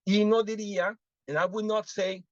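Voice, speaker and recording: A man from Mexico, presenting a live webinar on interpreting and asking the audience lively rhetorical questions. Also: high-pitched, moderate pace, no echo. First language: Spanish. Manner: animated teaching, frequent rhetorical questions, switches into Spanish examples. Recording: muffled lo-fi dry webinar audio.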